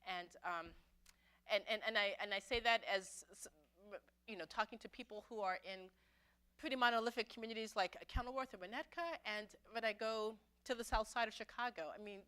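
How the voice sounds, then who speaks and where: A woman's voice: fairly high pitch, steady tone, brisk, bright, forceful tone, smooth. Reflective New woman pastor. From Brooklyn, New York City. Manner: conversational and reflective, many fillers and restarts. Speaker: pastor speaking at an adult forum. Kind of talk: personal testimony talk. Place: church meeting room.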